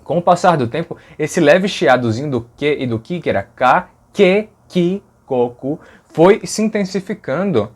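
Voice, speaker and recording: A man's voice: a little high, expressive, measured pace, few pauses. Chatty man explaining sounds. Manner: conversational, fillers like "né?", demonstrates sounds aloud. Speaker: language educator. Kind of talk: explanatory video lesson.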